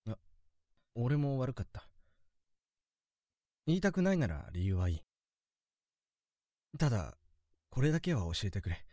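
A woman playing a brash, childish high school boy in a romantic comedy audio drama, rough and cocky. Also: very animated, unhurried, long pauses, mellow, no room sound, clean studio sound, heavily acted. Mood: serious, probing. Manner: rough, cocky boyish speech.

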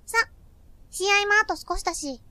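A woman playing a brisk, sharp-tongued teenage senior schoolgirl in an anime-like audio drama, bossy and teasing. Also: extremely high pitch, dry recording. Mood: brisk, explanatory.

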